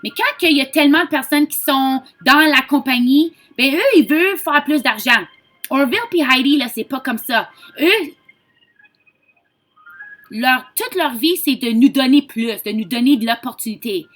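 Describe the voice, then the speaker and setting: A woman from Ontario with a Franco-Ontarian French accent, giving a chatty, informal sales pitch and mixing in English words. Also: normal speed, fairly bright tone. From Ontario, Canada. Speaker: direct-sales consultant. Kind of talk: casual sales pitch to camera.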